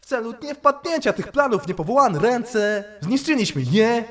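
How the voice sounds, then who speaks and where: A man's voice: very high-pitched, expressive, normal speed, fairly bright tone. A man playing an official, self-assured senior warrior monk in a fan parody dub. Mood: formal.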